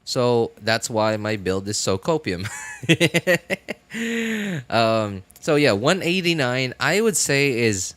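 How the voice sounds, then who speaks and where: A man talking casually on a live game stream in a rambling, halting way, often correcting himself. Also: mid-range voice, very animated, fairly bright tone.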